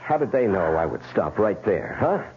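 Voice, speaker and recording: Betrayed tough guy for radio crime drama: sardonic, intense, highly animated pitch, muffled vintage recording with hiss. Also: moderate pace, creaky, full character voice. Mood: bitter, accusing. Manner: hard-boiled, sardonic, dramatic. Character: bitter, betrayed tough husband, accusing and intense. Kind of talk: old-time radio crime drama acting. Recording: dull, hissy old radio recording.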